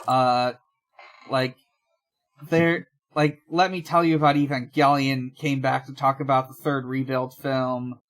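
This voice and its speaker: A podcast co-host chatting casually in a halting, rambling way. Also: mid-range voice, a bit bright.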